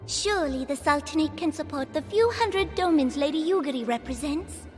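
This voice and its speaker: A woman's voice: high-pitched, expressive, normal speed, long reverb tail, soft background music. A woman voicing a young, regal girl ruler who speaks formally and graciously.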